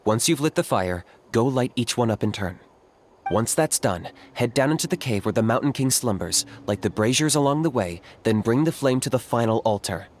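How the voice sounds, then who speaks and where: A man voicing a cool, businesslike young warrior guide in a video game, matter-of-fact and measured. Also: mid-range voice, animated, a little hiss or hum, close to an everyday voice. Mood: instructive. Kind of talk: video game character dialogue.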